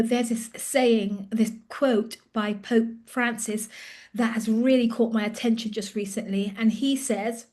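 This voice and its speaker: A woman's voice: a little high, a little mellow. A British woman in her late fifties, a leadership coach giving a casual, chatty update straight to her viewers, with frequent fillers and restarts. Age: 50s. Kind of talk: casual direct-to-camera update.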